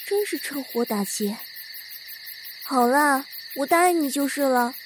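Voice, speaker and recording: Woman doing a chatty young servant girl, really high voice, period radio play, faint noise behind. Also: lively intonation, measured pace. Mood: pleading. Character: young maidservant in old China, boastful, chatty. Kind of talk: Chinese audio drama acting.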